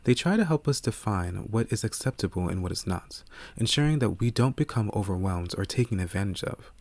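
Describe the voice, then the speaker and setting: A man reading scripted audiobook narration in a measured, earnest way, close to the microphone. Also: fairly low pitch, animated, creaky, a little mellow, no room sound.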